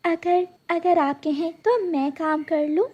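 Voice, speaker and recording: A woman voicing a gentle, dutiful mother bird in a cartoon, speaking in a soft, humble, earnest way. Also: very high-pitched, slow pace, highs cut off, pitched above the performer's own voice.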